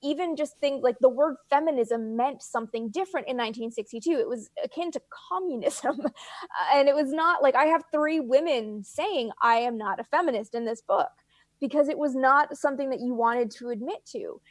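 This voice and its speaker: High-voiced Canadian woman chatting as a guest. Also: fairly quick, dry recording. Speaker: guest author. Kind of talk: casual interview chat.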